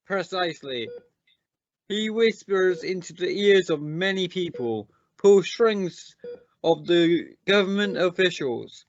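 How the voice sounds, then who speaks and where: A man playing a defensive, accusing scientist in an amateur radio drama, speaking in a halting, formal and deliberate way. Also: sits high, lively intonation, lo-fi sound, slight background noise, heavily acted. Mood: earnest, suspicious. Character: defensive, accusing male scientist on a space mission. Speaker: amateur radio play actor.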